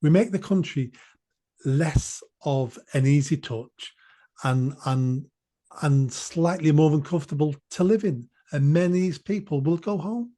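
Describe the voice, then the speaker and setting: A man's voice: fairly high pitch, a little slow, warm tone. A man, a podcast guest speaking bluntly and emphatically, repeating phrases for force. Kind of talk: political podcast discussion.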